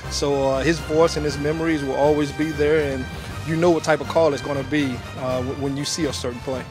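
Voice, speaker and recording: Former player, flat halting recollection. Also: male voice, on the high side, over music. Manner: halting, filler-heavy recollection. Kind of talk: interview reminiscence.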